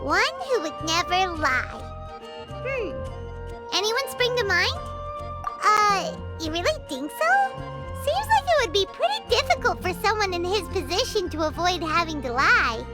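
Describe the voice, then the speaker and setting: A woman voicing a chirpy, opinionated little fairy companion in a video game, childlike and squeaky, with a cutesy, blunt manner. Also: very animated, measured pace, heavily acted. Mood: chirpy, curious. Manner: cutesy, exclamatory, casually blunt. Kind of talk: video game cartoon-style dialogue. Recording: loud children's music behind. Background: loud tinkling, playful music.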